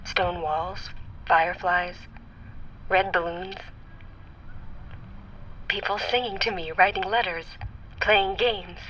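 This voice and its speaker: An actress in a radio drama playing a traumatized machine intelligence who was once a child soldier, speaking haltingly and slipping into whispers. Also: female voice, on the low side, steady tone, a bit bright, a little hiss or hum, poor audio. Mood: dreamy, wistful. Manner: halting, repetitive, drifting into whispers.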